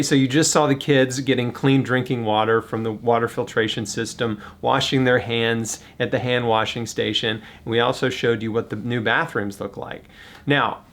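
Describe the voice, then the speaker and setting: A man's voice: mid-range voice, a bit bright. A man narrating a vlog in a casual, heartfelt, conversational way. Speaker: vlog host.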